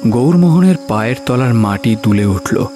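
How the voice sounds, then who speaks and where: A man's voice: medium pitch, expressive, moderate pace, mellow. Male narrator for suspense audio story: mid-range voice, dramatic scripted read, music bed underneath. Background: background music.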